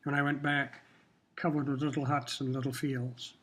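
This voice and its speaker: An older man from Kenya whose first language was Swahili, speaking in a measured, reflective way while answering questions in an interview. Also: mid-range voice, little pitch movement, fairly bright tone, slightly rough. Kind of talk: casual interview talk.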